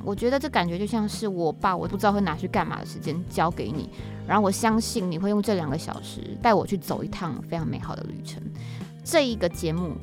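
A woman with a Taiwanese accent talks casually as a solo podcast host. Her voice sits at a middling pitch, and her delivery is chatty and informal, with a self-deprecating touch. Music plays clearly behind her. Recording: music playing under the voice. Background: background music.

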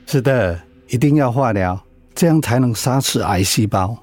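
An actor playing a calm, matter-of-fact cancer doctor in a radio drama, measured and clinical but reassuring. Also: male voice, medium pitch, no room sound, close to an everyday voice.

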